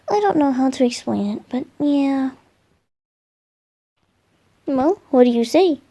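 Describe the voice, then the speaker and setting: Slow, soft boyish wind god voiced by a woman. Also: fairly high pitch, heavily acted. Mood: cheerful, relaxed. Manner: soft, musing, hesitant, affectionate. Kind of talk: roleplay ASMR, intimate one-sided dialogue to a listener.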